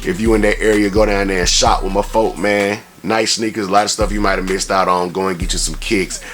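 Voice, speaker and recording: Male sneaker vlogger, lowish voice, slangy and conversational, casual review style over faint music. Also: fairly bright tone. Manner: slangy, conversational, peppered with tag phrases. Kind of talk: casual product review vlog with shout-outs.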